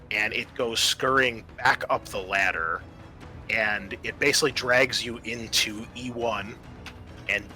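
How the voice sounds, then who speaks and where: Bright-voiced male game master over music. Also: lowish voice. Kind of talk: narrating a tabletop horror game, casual.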